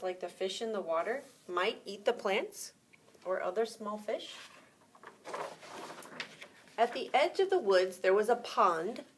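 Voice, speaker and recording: A woman preschool teacher reading a story aloud to young children, pausing to explain words simply and ask questions. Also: medium pitch, slight background noise.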